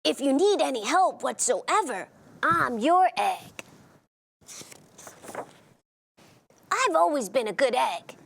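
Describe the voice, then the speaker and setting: An earnest, worrying egg from a children's storybook, acted out by a woman. The voice is high and very animated, with slow, deliberate phrasing. It sounds eager to please and expressive, with some creak at the edges. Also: full character voice. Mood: eager, proud. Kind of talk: scripted children's read-aloud.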